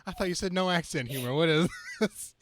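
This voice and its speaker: A man's voice: fairly high pitch, fairly quick, noisy background. A male podcast co-host chatting casually with friends. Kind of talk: casual chat.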